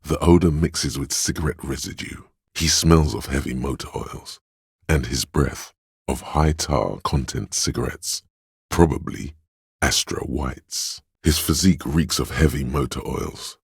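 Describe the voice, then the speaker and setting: A man voicing the wry inner voice of a detective's sense of smell in a game, with a slow, sardonic delivery. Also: dry recording, studio quality, heavily acted. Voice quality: deep gravelly husky voice. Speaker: voice actor for game narration. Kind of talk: scripted game voice lines. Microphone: close studio mic.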